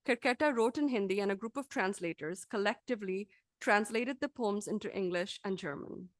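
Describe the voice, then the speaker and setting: Measured woman academic reading a lecture. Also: medium pitch, no echo. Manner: measured, articulate, formal. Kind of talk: scripted academic lecture, read aloud.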